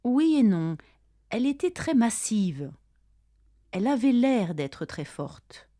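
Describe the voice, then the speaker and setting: Slow, mellow-voiced woman reading a lesson dialogue. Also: a little high, sing-song, dry recording, studio quality. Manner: careful, deliberate delivery for learners. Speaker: language-lesson dialogue reader. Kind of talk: slow scripted dialogue, each line read twice.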